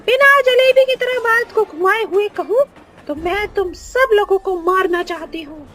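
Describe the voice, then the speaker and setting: A woman's voice: very high voice, expressive, a little slow, a bit bright, exaggerated voice. A woman voicing a vengeful, gloating witch ghost in a cartoon, with a theatrical, taunting delivery and cackles. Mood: taunting menace. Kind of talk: exaggerated Hindi cartoon horror voice acting.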